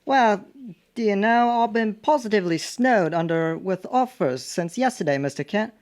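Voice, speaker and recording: A chummy little chap of the circus , acted by a woman, pompous and jolly. The phrasing is plummy and old-fashioned, in the manner of a vintage radio serial, at a middling pitch. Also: animated, moderate pace, a little mellow, close to an everyday voice. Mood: chummy, proud.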